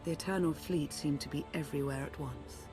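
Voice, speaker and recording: An actress playing a composed, analytical female strategist in a video game, formal and measured as she explains. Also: low-pitched, fairly even intonation, over music, close to an everyday voice. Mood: grave, explanatory. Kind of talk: dramatic video game acting.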